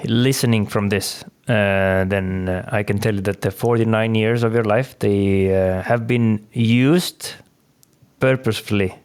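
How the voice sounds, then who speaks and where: A man hosting a podcast in relaxed conversation who laughs easily and uses many fillers. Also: lowish voice, measured pace, clean tone. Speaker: podcast host. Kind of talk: casual interview talk.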